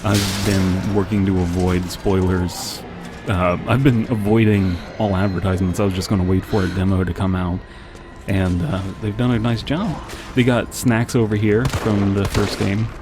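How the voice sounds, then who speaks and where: A man's voice: sits low, fairly even intonation, normal speed. A man giving casual, rambling commentary as he plays a video game, with wry joking asides. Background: game music and gunfire.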